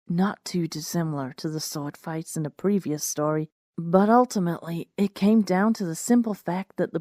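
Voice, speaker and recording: A woman reads a first-person story as its narrator. Her voice sits fairly low for a woman, and the recording is close and dry, with no room sound. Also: mellow, no room sound. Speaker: narrator and host of a fan fiction reading series.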